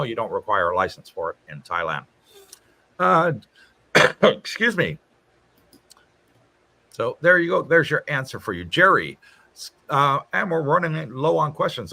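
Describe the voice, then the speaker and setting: A man's voice: medium pitch, sharp tone. A man hosting a casual livestream from his home, reading out viewer comments and answering them in a chatty way. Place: home in Thailand.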